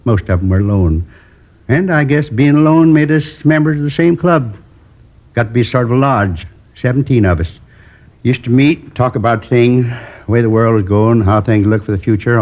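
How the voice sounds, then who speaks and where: An actor playing a lonely man in his seventies who confesses to a robbery in a slow, rambling, wistful way, in 1950s radio drama acting. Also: mid-range voice, natural voice. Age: 70s. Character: lonely old male drifter turned robber. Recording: dull old radio broadcast.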